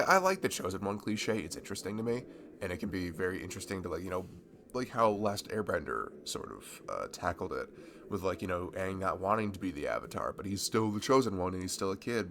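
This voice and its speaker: Shy young nerd in love male actor, low and gravelly, hesitant and rambling, ASMR roleplay over audible background noise. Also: expressive, a little fast, a bit bright, exaggerated voice. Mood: giddy, nerdy. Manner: rambling, hesitant, stammering, nervous laughs. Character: shy, giddy, nerdy young man, a longtime friend in love.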